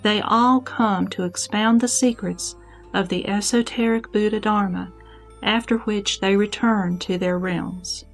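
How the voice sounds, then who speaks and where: A woman voices a heavenly goddess in a sutra audio drama, serene and sure of her wisdom. She speaks at a medium pitch, measured and instructive, with calm authority. Music plays behind her. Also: gravelly. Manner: measured, didactic, declamatory. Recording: background music under the voice.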